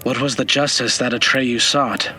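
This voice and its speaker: A man's voice: mid-range voice, steady tone, normal speed, sharp tone. An actor playing a curious young apprentice archivist in a fantasy audio drama, eager and deferential to his master.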